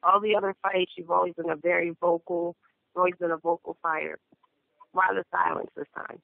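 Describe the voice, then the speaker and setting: A woman TV reporter asking a slow, deliberate question on a press call. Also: lowish voice, flat delivery, gravelly, no echo. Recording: dry, tinny phone line.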